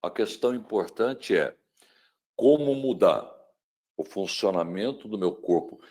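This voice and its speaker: Brazilian guy in his middle years giving a webinar talk, gets loud then goes quiet, keeps asking you questions. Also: fairly low pitch, lively intonation, measured pace. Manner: dramatic, swings between loud emphasis and hushed tones; asks the listener rhetorical questions. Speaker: speaker on mental wellbeing. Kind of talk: webinar lecture.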